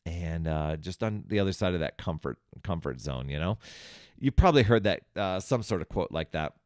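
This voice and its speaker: A man's voice: low-pitched, animated, moderate pace, darkish tone, dry recording, studio quality. A man hosting a self-help podcast, talking conversationally and encouragingly straight to the listener. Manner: conversational, talks directly to the listener; encouraging, coaching. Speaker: podcast host.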